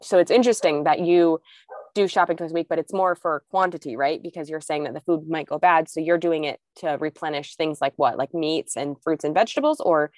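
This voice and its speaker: Casting: woman, podcast co-host Low-ish voice, stuffy and nasal with a cold, chatty, dry close sound. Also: brisk, a little mellow, no room sound. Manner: chatty, asks follow-up questions. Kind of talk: casual chat.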